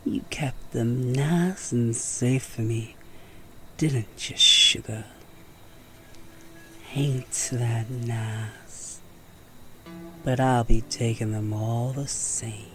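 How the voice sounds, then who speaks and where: A man's voice: mid-range voice, fairly even intonation, extremely slow, long pauses, pushed and bright, faint music behind. A man playing a menacing snake-like outlaw villain in a Western audio roleplay, with an American Southern drawl and mock-sweet taunts. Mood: smug threat. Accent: American Southern drawl, Western style.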